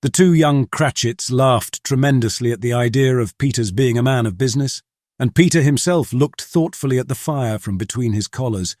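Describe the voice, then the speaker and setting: A man narrates an audiobook in a measured storytelling manner. His pitch sits in the middle, and the recording is close and dry. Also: darkish tone, no room sound.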